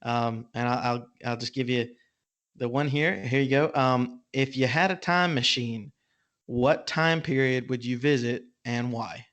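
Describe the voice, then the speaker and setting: A man hosting a casual podcast interview, speaking in a halting, informal way. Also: medium pitch, fairly bright tone. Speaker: podcast host.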